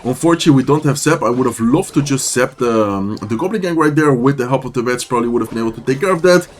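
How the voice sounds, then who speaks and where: A man talking quickly through a sore throat, calling out his moves in a relaxed running commentary. His pitch sits in the middle of a man's range, and he often stops and restarts his sentences as he plays. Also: a little hiss or hum. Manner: fast, casual play-by-play with frequent restarts. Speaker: gaming YouTuber. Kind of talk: gameplay commentary.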